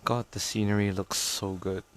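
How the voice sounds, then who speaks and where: A man's voice: lowish voice, fairly even intonation, moderate pace, a little hiss or hum. A man streaming a game, giving short, casual reactions over it.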